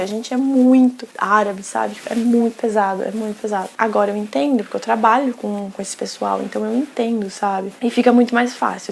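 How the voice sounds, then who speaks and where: A young Brazilian woman rattles along in easy, slangy vlog chat, her words spilling out quickly. Her voice carries a gravelly edge, and a faint hiss sits under the recording. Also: medium pitch. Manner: chatty, slangy, frequent "sabe?" tags. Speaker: vlogger.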